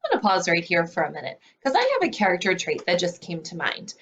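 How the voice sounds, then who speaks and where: A woman speaking as a school librarian, explaining things clearly in a read-aloud lesson for young children. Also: lowish voice, wildly swooping pitch, fast, fairly bright tone, slight background noise. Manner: clear, explanatory teacher talk.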